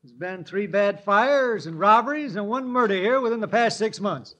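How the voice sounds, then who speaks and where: High folksy western townsman, drawly, faint radio hiss. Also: animated, moderate pace, fairly bright tone, dull audio. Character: Ed Mason, folksy old-west clerk man. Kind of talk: 1950s radio drama acting. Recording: noisy old radio broadcast.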